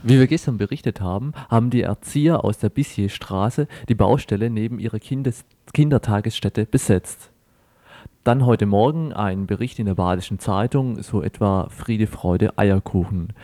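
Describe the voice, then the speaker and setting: A man's voice: on the low side, moderate pace, warm tone. A man working as a radio reporter, introducing reports and asking questions in a casual, informal way.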